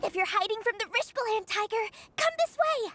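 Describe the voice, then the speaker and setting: A woman playing a small, sly, girlish merchant in a video game, with a lively manner. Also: very high-pitched, a little fast, over music. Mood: beckoning, pleased.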